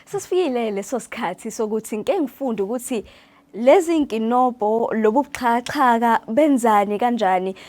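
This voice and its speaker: A woman's voice: on the high side, expressive, a little fast, a little mellow. A woman with a South African accent hosting an informal interview, asking questions. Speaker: interviewer and host. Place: indoor radio studio.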